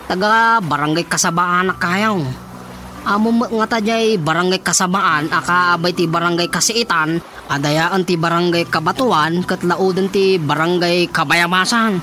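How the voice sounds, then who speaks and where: A man's voice: sits high, moderate pace, pushed and bright, slight background noise. An actor with an Ilocano Filipino accent playing a chatty, cheeky young male relative in a radio drama comedy. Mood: pleading, exasperated.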